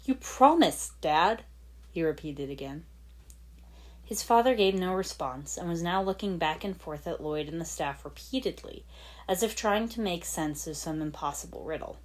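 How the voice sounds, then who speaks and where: A woman's voice: low voice, lively intonation, normal speed. A woman reading fan fiction aloud as dramatic scripted story narration. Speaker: fan fiction podfic reader.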